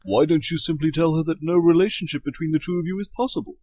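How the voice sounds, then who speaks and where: An actor playing a nosy, dryly absurd British colleague in a comedy audio drama, with a British accent. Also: male voice, fairly high pitch, fairly even intonation, fast talker, a little mellow, no echo, studio quality, lightly acted. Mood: droll, curious.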